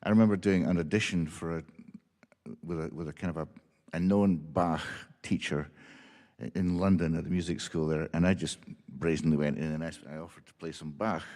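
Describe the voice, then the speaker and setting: A man on a music panel answering questions and telling lively stories about his teaching and playing. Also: low-pitched, fairly quick, in a large hall. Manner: enthusiastic storyteller. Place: symposium hall.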